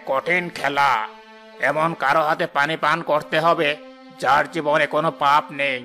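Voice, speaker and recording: A man's voice: on the high side, little pitch movement, normal speed, bright, forceful tone. A man playing a solemn, respectful adviser to a king in a cartoon fairy tale. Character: solemn, deferential royal adviser. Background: background music.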